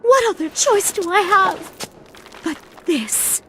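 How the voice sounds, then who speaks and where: High-voiced, anguished young noblewoman. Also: animated, pushed and bright, a little hiss or hum, full character voice. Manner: earnest, dramatic, deliberate. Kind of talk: video game cutscene acting.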